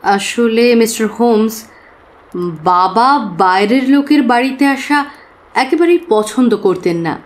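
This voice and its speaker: An actress playing an earnest young working woman who confides expressively and with pauses in an audio drama. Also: on the high side. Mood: confiding. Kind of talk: dramatised audio story acting.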